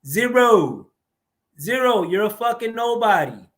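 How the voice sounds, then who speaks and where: Casting: man with a squeaky, slightly high voice, livestream host, rambling profane rant, heavy on fillers. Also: sing-song, unhurried, a bit bright. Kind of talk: casual boxing rant.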